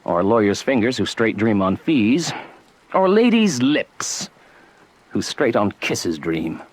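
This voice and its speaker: A witty, fanciful young nobleman in a Shakespearean radio play. His voice is husky and roams widely in pitch. He takes his time, relishing the verse with teasing, theatrical flourish. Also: very animated, takes it slowly, heavily acted. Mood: fanciful storytelling. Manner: playful, declamatory, relishing each phrase.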